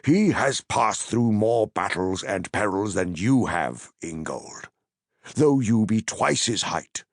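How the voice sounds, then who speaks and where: A man voicing an ancient wise wizard who speaks gravely and commandingly in solemn, archaic, dramatic phrases. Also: on the low side, lively intonation, slow pace, dry recording, clean studio sound, voice pushed up, exaggerated voice. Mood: firm.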